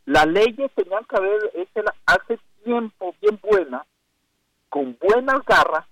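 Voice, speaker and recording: A man's voice: sits high, big pitch swings, takes it slowly, fairly bright tone, dry recording. A man with a Costa Rican accent calling in to a radio show by phone, talking in an emphatic, rambling way. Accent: Costa Rican Spanish. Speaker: caller. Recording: phone line call-in.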